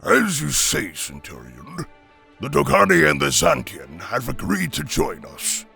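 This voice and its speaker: A man playing a huge brutish orc grunt in an audio drama, gravelly, formal and politely menacing. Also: mid-range voice, huge pitch swings, a little slow, pushed and bright, faint music behind, exaggerated voice. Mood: deferential. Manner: formal, deferential to his commander.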